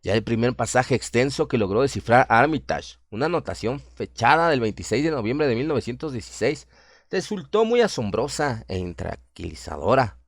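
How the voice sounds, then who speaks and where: A man narrating a scripted horror radio drama in a measured, literary, dramatic storytelling manner. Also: mid-range voice, dry recording, clean studio sound.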